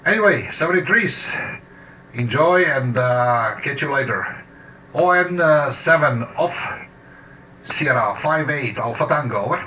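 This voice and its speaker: A man chatting casually as an amateur radio operator, halting and searching for words in English. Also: medium pitch, animated. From Slovenia. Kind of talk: casual ham radio chat. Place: home radio shack. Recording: distant mic with faint background noise.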